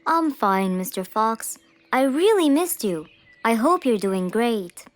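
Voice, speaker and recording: A woman's voice: fairly high pitch, sing-song, takes it slowly, a little hiss or hum. A woman voicing an earnest, polite, anxious male jungle animal in a children's cartoon. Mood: grateful, subdued.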